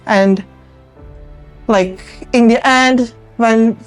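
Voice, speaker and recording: A woman's voice: fairly high pitch, steady tone, extremely slow. Turkish-accented woman over background music. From Turkey. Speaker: ceramic artist, interview guest. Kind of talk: casual interview chat about own work.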